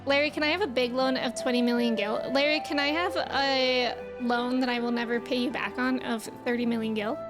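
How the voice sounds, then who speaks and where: A woman's voice: fairly high pitch, fairly even intonation, fairly quick, few pauses, over music. A woman game streamer chatting casually with her viewers in a playful, teasing way. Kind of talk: casual livestream chat.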